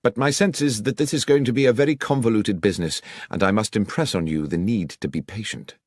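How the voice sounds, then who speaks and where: A man's voice: lowish voice, a little fast, darkish tone, dry recording, clean studio sound, voice pushed up, natural voice. A man voicing a commanding, impatient Victorian detective with a British accent and precise, authoritative delivery. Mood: grave, assured.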